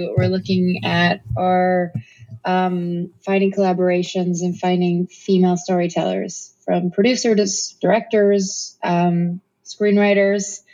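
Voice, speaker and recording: A woman from Norway, an actress talking as a guest in a casual interview, chatty and quick to laugh, with many ums. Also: fairly low pitch, a little mellow. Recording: slightly muffled recording.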